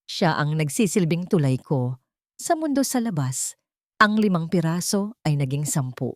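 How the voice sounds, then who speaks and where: A woman's voice: low voice, a little mellow, dry recording, studio quality. A woman reading a scripted first-person dramatic story as an audiobook narrator.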